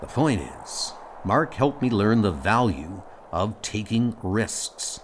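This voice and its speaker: A man playing a measured, earnest, loyal starship captain in an audio comic dub. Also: fairly low pitch, very animated, a little hiss or hum. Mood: reflective. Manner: deliberate, earnest.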